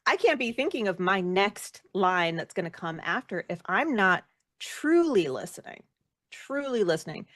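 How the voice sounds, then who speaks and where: A woman speaking casually as an interview guest, reflective and self-deprecating, with an animated way of talking. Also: mid-range voice, normal speed, no echo, studio quality. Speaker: guest, actor and performer.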